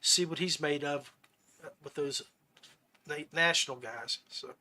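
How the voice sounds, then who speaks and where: A man with a notably high, bright voice hosts a casual fishing podcast. He talks in a folksy way and rambles through his stories like someone chatting with buddies. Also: measured pace. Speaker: podcast host.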